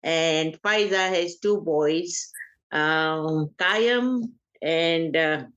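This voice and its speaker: An older woman from Uganda, a family elder being interviewed, talking in an unhurried, hesitant way with frequent pauses. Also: sits low, a bit bright, lo-fi sound.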